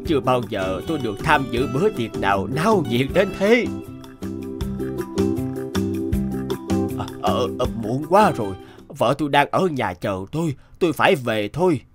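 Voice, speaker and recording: A kindly old peasant man played by a male actor speaks gently and humbly in a cartoon folktale. His delivery swings expressively at a middle pitch, with hesitant little murmurs, and music plays clearly behind him. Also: big pitch swings, normal speed, lightly acted. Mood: polite, grateful. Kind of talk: animated folktale dubbing.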